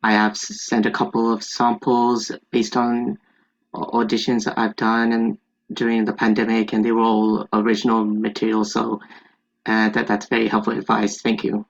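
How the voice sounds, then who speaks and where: A man's voice: on the low side, monotone, normal speed, creaky. A young man, a theater student, politely and hesitantly asking a question on a dull, lo-fi online call.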